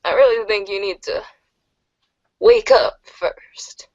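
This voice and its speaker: A woman's voice: mid-range voice, slow pace, a little mellow, dry recording, natural voice. A woman playing an evasive, teasing girl confiding in a friend in an amateur webcomic reader's theater, on a muffled recording. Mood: teasing, apologetic.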